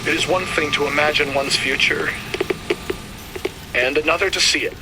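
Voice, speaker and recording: An actor playing a zealous elderly prophet and villainous ruler in a video game, preaching in solemn, grandiose, archaic oratory. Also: male voice, on the high side, steady tone, moderate pace, echoey room, long reverb tail, recorded from a distance, heavy background noise, natural voice. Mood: solemn, fervent. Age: older. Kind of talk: theatrical sermon-like oratory.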